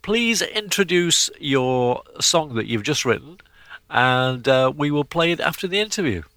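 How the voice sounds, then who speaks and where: A man's voice: a little high, expressive, unhurried, fairly bright tone, dry recording. A man hosting a radio interview who asks curious questions and often answers with short agreeing replies. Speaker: radio host.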